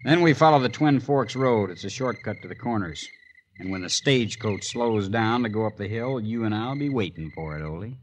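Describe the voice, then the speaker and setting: An actor playing a spiteful, scheming villain plotting in low tones in a 1950s radio western. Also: male voice, animated, a little fast, very noisy, natural voice. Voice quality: low conspiratorial, vengeful. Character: Joe Beaver, spiteful scheming male villain clerk. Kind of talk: 1950s radio drama acting.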